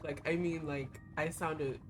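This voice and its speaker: A young woman streaming a game, her voice hoarse and giving out, chatting in a rambling way with lots of fillers. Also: low-pitched, fairly even intonation, unhurried. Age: young adult. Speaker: VTuber streamer. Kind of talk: casual livestream chat while playing a gacha game. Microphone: desk streaming mic. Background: game music.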